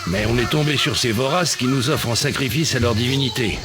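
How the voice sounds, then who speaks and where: An actor playing a wounded, stranded sailor who jokes grimly in a resigned, wry way, for a game cutscene dub. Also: male voice, medium pitch, fairly quick, loud music behind the voice, lightly acted.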